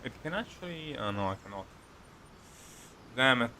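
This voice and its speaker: A man's voice: medium pitch, lively intonation, moderate pace, background noise. A man giving casual gameplay commentary as a streamer, with mocking, sweary banter. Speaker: video game streamer.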